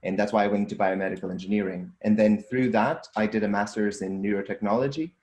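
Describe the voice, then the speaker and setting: A man's voice: lowish voice, steady tone, quick pace, a little mellow, roomy sound. A young man from Portugal with a Portuguese accent, answering interview questions thoughtfully with frequent ums and restarts. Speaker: guest, data scientist and entrepreneur.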